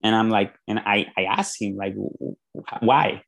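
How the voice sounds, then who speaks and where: A man's voice: fairly low pitch, moderate pace, vocal fry. A man from Peru with a Peruvian Spanish accent, a guest on a podcast, telling his story earnestly and often restarting his sentences. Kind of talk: podcast interview.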